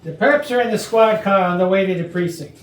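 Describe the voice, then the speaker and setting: A woman's voice: medium pitch, natural voice. A woman as an authoritative detective, echoey room. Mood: businesslike. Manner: deliberate, authoritative. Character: brisk, confident police detective laying out the case. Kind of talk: staged reading of murder mystery comedy. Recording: echoey room, distant mic, faint noise.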